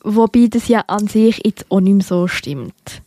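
A woman's voice: mid-range voice, animated, a little slow, mellow, no echo. Young Swiss woman, Bernese accent, dry sound. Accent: Bernese Swiss German. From Switzerland. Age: young adult. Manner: chatty, many fillers and self-corrections. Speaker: podcast co-host. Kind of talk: casual literary podcast chat.

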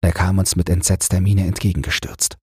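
A man reading a calm, scripted bedtime crime story as an audiobook narrator. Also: low voice, fairly quick, husky, no echo, clean studio sound.

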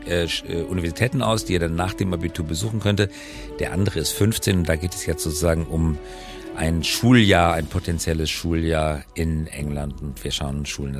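Podcast co-host: male, middle-aged, deep register, conversational and informal, light music underneath. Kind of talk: casual conversational podcast talk.

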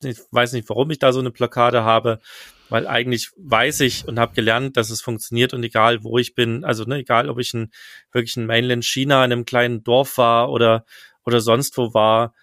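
A man's voice: mid-range voice, level tone, a little fast, a bit bright, dry recording, studio quality. A man co-hosting a casual podcast, talking in a loose, rambling way about travel and listener questions. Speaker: podcast co-host.

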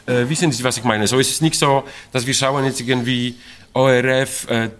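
A man's voice: mid-range voice, fairly even intonation, fairly quick, fairly bright tone. A middle-aged man from Poland with a Polish accent, a Polish native speaker, talking in an interview about an opera production, searching for words with many fillers and restarts and laughing often. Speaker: opera stage director.

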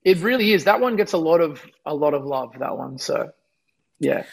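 A man's voice: a little high, lively intonation, normal speed, vocal fry, breathy edge. A young man, a musician chatting in an interview with a slightly worn voice, rambling and self-deprecating. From Australia. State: losing his voice. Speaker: musician guest. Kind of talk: casual interview chat.

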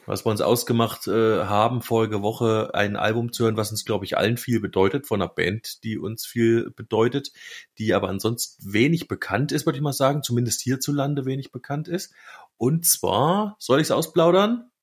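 A man's voice: medium pitch, moderate pace, breathy edge. A man chatting casually and colloquially as a podcast co-host, with slangy turns of phrase.

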